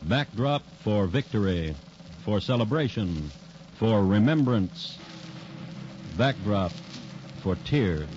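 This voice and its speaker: An actor playing a dry, deliberate American crime storyteller hosting a 1950s radio show. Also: male voice, lowish voice, expressive, very noisy. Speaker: host and narrator. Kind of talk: 1950s radio scripted narration. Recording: dull 1950s radio recording.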